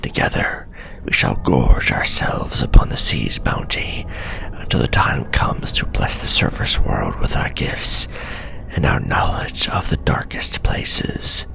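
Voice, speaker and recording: A man voicing a male scholar sliding into madness, whispering a fevered, gloating journal in a hushed ASMR horror roleplay. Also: a bass voice, big pitch swings, slow, heavily acted. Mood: menacing. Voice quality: gravelly whisper.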